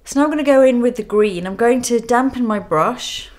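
A woman in her thirties with a cold and a nasal voice, calmly talking through a makeup tutorial step by step in a studio. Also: fairly high pitch, fairly quick, a little hiss or hum. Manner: calm step-by-step instruction while applying makeup. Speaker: makeup artist. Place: indoor studio with plain grey backdrop.

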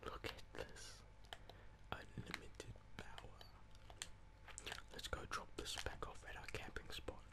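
Young guy whispering asmr gaming commentary, all breathy, right up on the mic. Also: unhurried. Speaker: gaming video creator.

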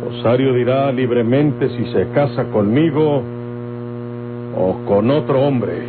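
An actor with a Mexican accent playing an aging, stubborn but calm outlaw father in a radio drama. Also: mid-range voice, slow, lightly acted. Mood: firm, fatherly. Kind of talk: golden-age Mexican radio drama acting. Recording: tinny old radio recording, music behind.

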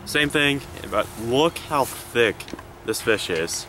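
A man's voice: medium pitch, expressive, slow, sharp tone. A young man speaking briefly and matter-of-factly while fishing outdoors by a pond. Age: young adult. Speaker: fishing partner. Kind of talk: casual outdoor chat. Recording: outdoor noise, wind.

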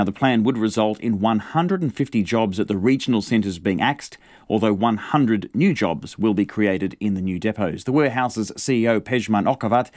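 A man's voice: medium pitch, darkish tone, dry recording. A man in his thirties with a New Zealand accent, presenting the news and pressing an interviewee with probing questions in a radio studio. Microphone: studio mic on a boom.

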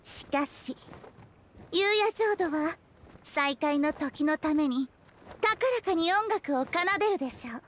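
A woman's voice: high-pitched, expressive, measured pace, a little hiss or hum. A woman voicing a grandiose teenage girl in a video game, declaiming theatrically like a princess. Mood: solemn, theatrical. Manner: pompous, archaic, declamatory. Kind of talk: Japanese game voice acting.

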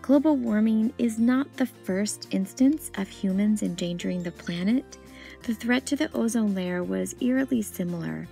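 A woman storyteller reading a children's picture book aloud expressively, with deliberate phrasing and dramatic pauses. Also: medium pitch, vocal fry, warm tone, faint music behind. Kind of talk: expressive read-aloud narration.

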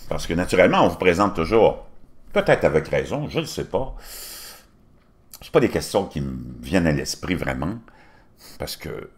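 A man in his fifties with a Québécois accent, reading news articles aloud and commenting on them, bursting into loud emphasis and then dropping to low muttered asides. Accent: Québécois French. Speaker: commentary channel host. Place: home studio with virtual backdrop.

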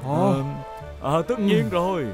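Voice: medium pitch, takes it slowly, loud music behind the voice, natural voice. An actor voicing an apologetic, helpful talking construction truck in a dubbed children's cartoon, speaking earnestly. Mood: eager.

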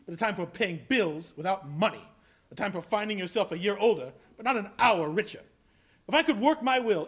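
An actor playing a bitter old miser in a live stage reading, speaking in a husky, clipped, scornful way in a large hall. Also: male voice, sits high, a bit bright, heavily acted.

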